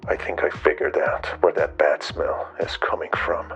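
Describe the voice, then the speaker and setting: A man's voice: a bass voice, level tone, moderate pace, a bit bright, soft background music. A man playing an uneasy hunter who mutters to himself in an analog horror story. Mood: wary. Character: uneasy hunter investigating the supernatural.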